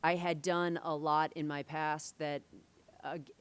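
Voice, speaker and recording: A woman director answering questions on a convention panel, thoughtful and pausing often with fillers. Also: low voice, flat delivery, smooth. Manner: thoughtful, many fillers and restarts.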